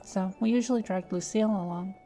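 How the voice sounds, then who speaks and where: Woman acting a mellow, patient young alchemist. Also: mid-range voice, quick pace, soft background music, lightly acted. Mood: explaining, friendly. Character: gentle young female alchemist, patient, matter-of-fact. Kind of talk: fantasy audio roleplay acting.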